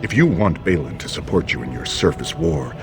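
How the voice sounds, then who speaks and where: An actor playing a brusque, impatient dwarven schemer in a fantasy video game. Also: male voice, very deep voice, very animated, brisk, full character voice. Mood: stern, scheming. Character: scheming, impatient male dwarven noble's political aide. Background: game music.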